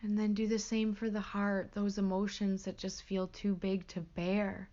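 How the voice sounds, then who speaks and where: A woman leading a guided meditation as a teacher, gentle and hushed, with pauses between short phrases. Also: medium pitch, little pitch movement, lo-fi sound.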